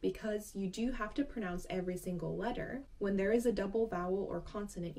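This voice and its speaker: A woman's voice: mid-range voice, darkish tone. A young woman who speaks Finnish as her first language, hosting a language lesson and explaining points step by step in a friendly, encouraging way. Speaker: language teacher and channel host. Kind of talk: casual explanatory lesson to camera.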